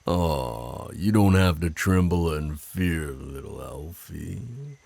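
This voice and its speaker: Man acting a very deep, gravelly, slow-drawling werewolf. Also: lively intonation, darkish tone, no echo, heavily acted. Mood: teasing, menacing. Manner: slow, drawling, taunting and possessive. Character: burly territorial male werewolf, menacing and teasing. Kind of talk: ASMR roleplay acting.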